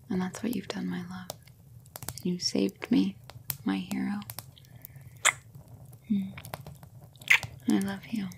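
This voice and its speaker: Female knight, acted by a woman, tender and devoted, hushed lowish voice with fry, ASMR romance roleplay, some background noise. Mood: loving, hushed. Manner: breathy, hushed, emotional. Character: battle-hardened female knight, tender and devoted to her lover.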